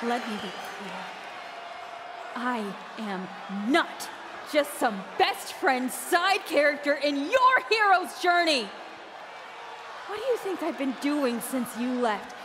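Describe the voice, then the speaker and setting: A woman's voice: high-pitched, background noise, heavily acted. An actress voicing a bitter, jealous young woman who runs an indie wrestling promotion, talking in a cold, taunting way in a video game cutscene. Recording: roaring arena crowd behind.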